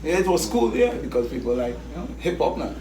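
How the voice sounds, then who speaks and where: A man's voice: fairly high pitch, very animated, quick pace. A man with a Trinidadian accent, a hip hop artist talking casually as an interview guest, laid-back and often saying "you know what I mean". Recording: echoey room, mic at a distance, background noise.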